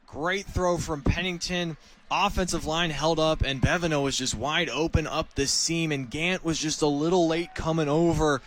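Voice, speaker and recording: A man's voice: fairly high pitch, fairly even intonation, normal speed, fairly bright tone. A man with an American accent giving conversational, explanatory color analysis during a live football radio broadcast.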